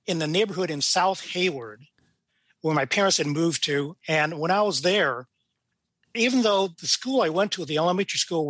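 A man's voice: high-pitched, a bit bright, slightly rough, no echo. An American man in his sixties from California, a judge telling his story casually as an interview guest. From California, United States. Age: 60s.

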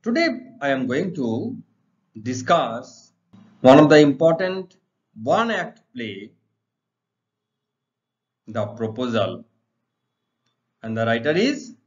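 A middle-aged man with an Indian accent, teaching English to students in deliberate, emphatic, repetitive phrases. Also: on the high side. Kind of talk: exam lesson explained to students. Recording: dull, lo-fi sound.

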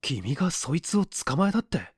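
Detective's colleague voiced by a man: grave, matter-of-fact, varied pitch, for an audiobook mystery. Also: big pitch swings, normal speed, breathy edge, dry recording, clean studio sound, full character voice. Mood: concerned. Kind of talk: Japanese audiobook character acting.

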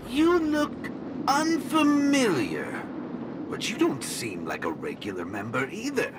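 An actor voicing a cryptic monkey robot guide in a video game, speaking in a courteous, drawn-out, theatrical way. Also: medium pitch, wildly swooping pitch, background noise. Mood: polite, mysterious.

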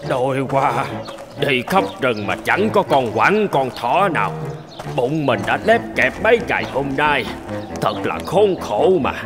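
A man's voice: mid-range voice, sing-song, measured pace, music in the background. A man voicing a proud but self-pitying hungry tiger in a cartoon, grumbling and lamenting. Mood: miserable, grumbling. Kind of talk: animated cartoon dialogue.

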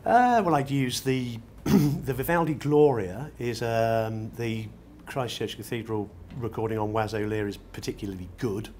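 A man's voice: mid-range voice, normal speed, darkish tone. A middle-aged British man explaining his work in an unscripted interview, articulate and ornate in his wording. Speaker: loudspeaker designer being interviewed. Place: large glass-roofed exhibition show room. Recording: noisy exhibition room ambience.

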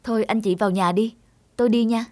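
A woman's voice: on the high side, fairly even intonation, fast, a little mellow, no echo, natural voice. A woman voicing a polite, concerned primary school teacher who explains courteously, in a cartoon dub. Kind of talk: cartoon dubbing.

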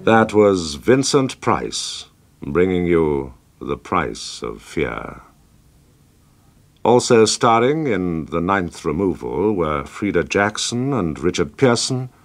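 A man announces radio credits in a formal style. His voice is very low and unhurried, with expressive rises and falls. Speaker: closing announcer.

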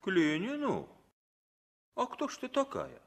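Questioning gate sentry, lively intonation. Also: male voice, sits high, measured pace, creaky, full character voice. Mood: stern, questioning. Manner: short, official questions. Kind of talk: 1960s Soviet radio drama acting.

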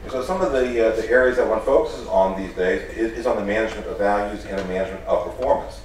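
A middle-aged professor raised in the Netherlands lectures at a podium microphone, earnest and halting, often restarting his phrases. His voice sits fairly low. The sound is very echoey and a bit distant, with a faint murmur of the room behind him. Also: a little hiss or hum. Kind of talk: formal lecture, unscripted. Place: large lecture hall with audience. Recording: echoey hall, picked up from a distance.

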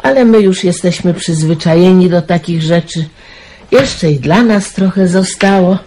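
An actress playing a weary, kindly middle-aged aunt in a wartime radio drama, sighing and resigned. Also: female voice, lowish voice, moderate pace, background noise, close to an everyday voice. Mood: gossiping. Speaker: aunt raising her niece.

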